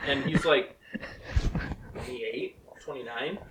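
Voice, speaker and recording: A man's voice: medium pitch, takes it slowly, pushed and bright, reverberant, recorded from a distance, slight background noise, rough recording. A man chatting casually as a co-author, interjecting with explanations and questions about his own story. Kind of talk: casual chat.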